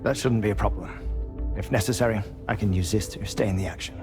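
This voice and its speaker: A man's voice: on the low side, quick pace, music in the background, lightly acted. An actor playing a practical ship's crewman in a game cutscene, speaking in a businesslike, cautioning manner. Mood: assured. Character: practical ship's crewman in charge of fire support.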